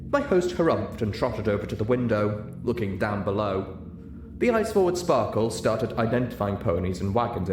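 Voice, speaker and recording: A narrator reading a story aloud in an expressive, scripted style. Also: mid-range voice. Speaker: audiobook narrator. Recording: roomy echo, clearly noisy background.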